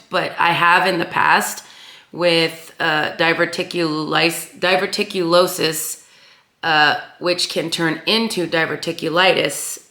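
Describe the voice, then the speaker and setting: A woman vlogger with a raspy voice left over from a sinus infection, chatting casually to her viewers with lots of ums and repeats. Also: on the low side, pushed and bright, roomy sound. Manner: rambling, many ums and uhs, repeats herself. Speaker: craft channel host. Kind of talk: casual chat to camera.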